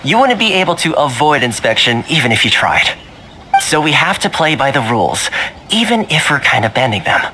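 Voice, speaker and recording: A man's voice: medium pitch, very animated, brisk, sharp tone, a little hiss or hum. A man playing an easygoing, affable young male guide in a video game, breezy and politely cajoling. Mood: easygoing, explaining. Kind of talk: video game character acting.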